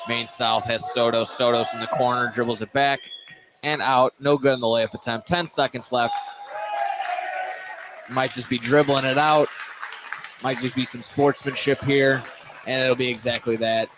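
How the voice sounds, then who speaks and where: A man's voice: mid-range voice, fairly even intonation, moderate pace, pauses often, crowd noise. Man calling basketball amid gym noise. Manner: informal, stumbles and self-corrects. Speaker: play-by-play sports announcer. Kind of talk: live basketball commentary. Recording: noisy live gym broadcast.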